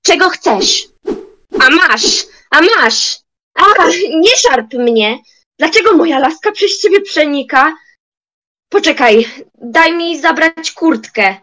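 A woman's voice: high voice, animated, sharp tone, full character voice, rough recording. A young woman playing a grumpy, spiteful old grandmother in an amateur radio play, complaining and indignant. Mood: indignant protest. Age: young adult. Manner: querulous, indignant, drawn-out.

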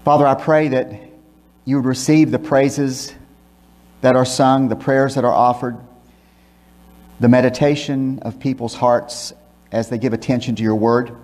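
Older pastor preaching in a reverberant church. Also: male voice, mid-range voice, fairly even intonation, darkish tone. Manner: measured, emphatic preaching with rhetorical questions. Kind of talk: sermon with scripture reading and prayer. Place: church sanctuary, speaking from a pulpit. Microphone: pulpit microphone, filmed by a far camera.

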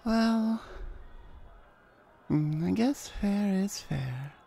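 A woman voicing a confident, flirtatious female hellhound in a roleplay ASMR audio drama, sultry and suggestive, lingering on words with breathy whispers. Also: medium pitch, expressive, extremely slow, dark tone, soft background music, exaggerated voice. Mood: reluctant, teasing.

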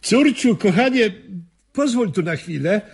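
An actor playing a proud, gruff middle-aged father in a radio drama, blunt and sarcastic, with a raspy, gravelly voice. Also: high-pitched, lively intonation, a little slow, a little hiss or hum. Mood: insistent.

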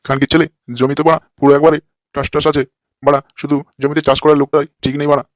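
A man's voice: fairly high pitch, level tone, racing, no room sound, lightly acted. A man voicing a crude, lecherous fake holy man in a comic cartoon, with a brash, scolding manner. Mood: mocking.

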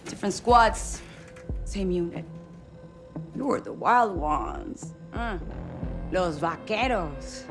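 An actress playing a composed, menacing female cartel boss and ex-soldier in a video game, speaking deliberately with a Mexican accent. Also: on the low side, lively intonation. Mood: cool defiance. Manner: deliberate, cool, defiant, sometimes near whisper. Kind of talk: video game cinematic acting. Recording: music behind.

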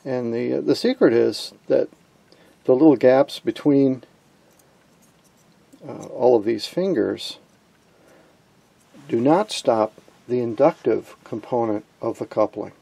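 A man giving an informal hands-on electronics tutorial in a gravelly, slightly husky voice, posing questions and answering them. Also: medium pitch, takes it slowly, long pauses, mellow. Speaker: electronics hobbyist presenter.

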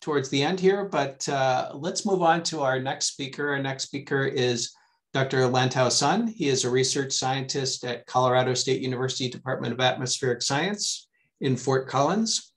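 A man's voice: mid-range voice, normal speed, fairly bright tone. A middle-aged man informally moderating an online scientific meeting over a video call.

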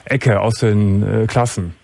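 A middle-aged man hosting a late-night radio call-in, asking gentle, probing questions with soft affirmations. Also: lowish voice, steady tone, a little slow, creaky, no echo. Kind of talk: calm empathetic phone-in talk. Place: radio studio. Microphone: studio mic, wearing headphones.

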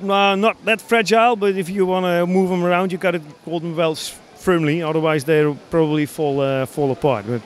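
A man in his thirties from the Netherlands with a Dutch accent, explaining his hobby builds in a casual, halting interview. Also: a little high, steady tone. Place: large busy exhibition hall, indoors. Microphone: handheld interview microphone.

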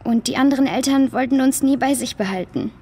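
A female performer playing a troubled, downhearted girl in a children's audio drama, with a high, husky voice. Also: brisk, dark tone. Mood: sad, ashamed. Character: troubled, downhearted foster girl lost in a cave.

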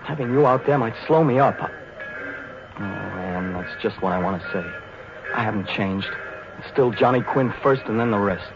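A man's voice: medium pitch, normal speed, music in the background, natural voice. An actor playing a cocky, self-serving young American serviceman in the style of a 1940s radio drama. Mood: awkward, blunt. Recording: muffled, noisy vintage 1940s radio.

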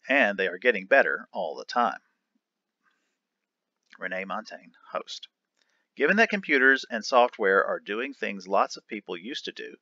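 A man reading an article aloud for a lesson in a deliberate, emphatic manner. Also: mid-range voice, bright, forceful tone, dry recording, muffled recording. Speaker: reader of an educational article. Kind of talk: read-aloud of an article transcript, announcing each speaker.

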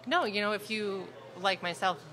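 Relaxed, chatty woman guest. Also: mid-range voice, moderate pace. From Chicago. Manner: relaxed, chatty, laughs easily. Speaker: guest photographer. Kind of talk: casual interview. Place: busy restaurant. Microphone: broadcast mic on stand. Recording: crowd chatter behind. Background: restaurant crowd chatter.

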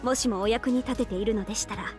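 A woman acts out a young female oni warrior for a mobile game. Her voice sits high, and every phrase is courteous and humble. She sounds devoted to her lord while keeping her secrets, with music playing softly underneath. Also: fast talker, natural voice. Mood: gentle, devoted. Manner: formal, humble, courteous speech. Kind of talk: Japanese mobile game character voice acting. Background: game background music.

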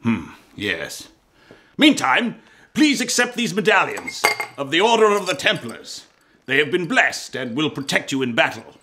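A zealous Templar commander in radio drama. He speaks slowly with big melodic swings, theatrical and imperious, used to giving orders and winning over the young. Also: on the high side, huge pitch swings, background noise. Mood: solemn, persuasive. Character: commanding, zealous Templar marshal, sly recruiter of young men. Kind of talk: historical radio drama acting.

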